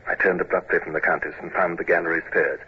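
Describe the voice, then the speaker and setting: A British commodore of the sailing-ship navy in a vintage radio drama. His voice is very husky and fairly low, clipped and commanding with urgency underneath. The old recording sounds dull and muffled. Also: steady tone, fast talker. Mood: tense recounting. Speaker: lead actor and narrator.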